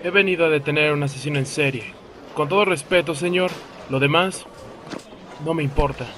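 A man's voice: fairly high pitch, fairly quick, a bit bright, a little hiss or hum, lightly acted. A man playing an earnest, formal young criminal investigator in a Latin American Spanish video game dub. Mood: serious, matter-of-fact. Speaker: fan dub voice actor. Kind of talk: dubbed video game drama dialogue. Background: music.

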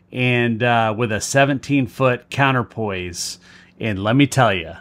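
A man's voice: medium pitch, fairly bright tone, no echo. A man in his forties with an American accent, talking casually as a hobbyist radio operator. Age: 40s. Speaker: novice amateur radio operator, vlogger. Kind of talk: casual chat.

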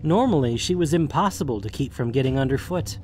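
A man's voice: medium pitch, expressive, brisk, a little mellow, a little hiss or hum. A man reading scripted fantasy story narration. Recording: music bed under voice. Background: background music.

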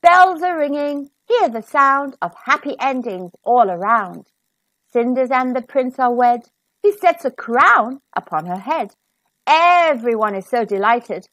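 High, sing-song woman reading to children. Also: no echo, poor audio. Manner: expressive, sing-song, clearly enunciated. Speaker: children's storybook reader. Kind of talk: rhyming storybook narration for children.

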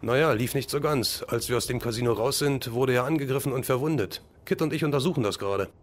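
A man's voice: medium pitch, quick pace, slight background noise, lightly acted. A man playing an easygoing, loyal crime-fighting agent in an amateur radio play, casual and reassuring. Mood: curious, businesslike.

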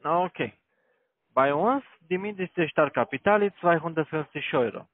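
A man who is not a native German speaker, with a foreign accent, making a persistent sales pitch as a call-centre caller. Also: lively intonation, moderate pace. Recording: narrow tinny phone line.

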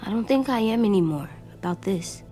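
A woman's voice: mid-range voice, lively intonation, fairly quick, dark tone, faint music behind, close to an everyday voice. Woman voicing an earnest, stubborn little boy survivor. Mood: upset, pleading. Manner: childlike, plain-spoken, solemn. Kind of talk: naturalistic video game acting.

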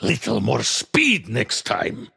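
A man voicing a big, blustery alien mad scientist in a racing video game, with a Russian accent and boastful, theatrical exclamations in a gravelly rasp. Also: medium pitch, wildly swooping pitch, slow, pushed and bright, full character voice. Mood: disappointed. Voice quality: gravelly, raspy. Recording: long reverb tail.

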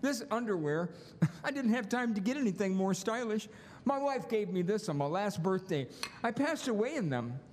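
Eager old apprentice angel played by a man, guileless, high voice, radio play in a reverberant hall. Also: very animated, normal speed, a little mellow, a little hiss or hum. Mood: mild, earnest. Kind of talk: stage radio play acting. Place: school auditorium stage. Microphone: microphone on a stand. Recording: echoey hall with music behind.

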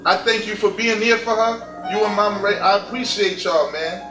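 A man's voice: high-pitched, normal speed, lightly acted. An actor playing a slangy, earnest, streetwise young man in a gospel stage play. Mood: grieving, grateful. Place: indoor stage in a furnished hall. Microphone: distant camera. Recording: distant echoey stage recording with music.

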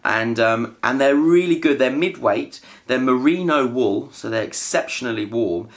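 A man's voice: mid-range voice, normal speed. A British man in his thirties, chatting casually about gear while seated on a living room sofa. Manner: chatty, upbeat, laughs between items. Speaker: family vlogger. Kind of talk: casual product haul chat. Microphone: camera on a tripod in front.

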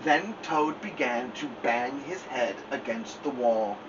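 A man's voice: medium pitch, expressive, slow, far from the mic, dull audio, background noise. A man in his thirties reading a children's story aloud expressively as a story time host. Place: small room at home.

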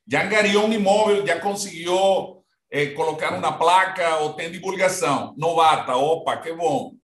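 A man's voice: high voice, roomy sound. Venezuelan man teaching over a video call. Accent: Venezuelan Spanish. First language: Spanish. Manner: halting, repeats words, mixes in Spanish. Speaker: real estate trainer. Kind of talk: online training session. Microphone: laptop mic on a video call.

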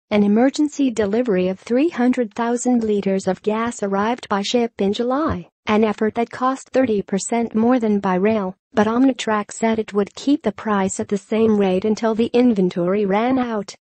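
A woman's voice: on the high side, warm tone, dry recording. A woman reading a scripted news article in an impersonal, neutral way, with a synthetic text-to-speech sound.

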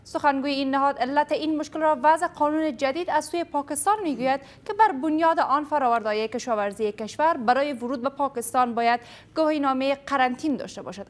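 A young woman anchoring a TV news program in a studio, reading reports formally and interviewing a guest. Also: high voice. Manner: formal, measured.

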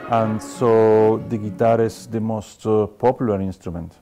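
A middle-aged guitarist from Chile, talking haltingly in an interview and repeating his words. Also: on the low side, fairly even intonation, mellow, faint music behind. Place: room, indoors.

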